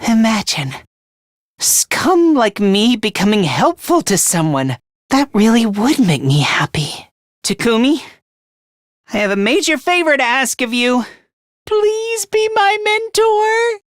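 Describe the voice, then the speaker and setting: High-voiced, meek, self-loathing young man. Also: sing-song, unhurried, dry recording, studio quality. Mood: grateful, touched. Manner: hesitant, stammering, apologetic, self-deprecating. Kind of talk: anime-style video game voice acting.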